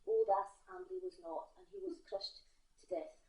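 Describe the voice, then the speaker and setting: High-voiced Scottish woman storytelling on stage. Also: very animated, gravelly, mellow. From Scotland. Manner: halting, punning, addresses the audience directly. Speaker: competition storyteller. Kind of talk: live comic storytelling, tall tale. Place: theatre stage before an audience. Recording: roomy, echoing theatre recording.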